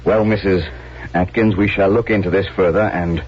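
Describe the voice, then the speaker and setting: An actor playing a proud, courteous Confederate colonel in a radio drama, formal and measured. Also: male voice, low voice, fairly even intonation, slightly rough. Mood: calm, official. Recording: muffled, noisy vintage radio recording.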